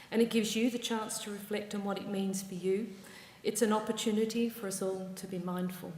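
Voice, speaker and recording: Australian woman addressing a reverberant hall. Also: medium pitch. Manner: warm, measured speech with wry asides. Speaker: university ceremony presider. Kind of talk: formal ceremony address. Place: large ceremony hall.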